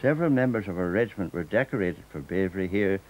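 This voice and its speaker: Older northern irish guy, lowish voice, steady documentary narration. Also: quick pace, slight background noise. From Northern Ireland.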